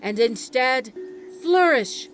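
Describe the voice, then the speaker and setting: A woman playing a devout island priestess in an audio drama, telling sacred history as solemn, reverent, measured oratory. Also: high voice, animated, soft background music. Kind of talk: ceremonial storytelling in an audio drama.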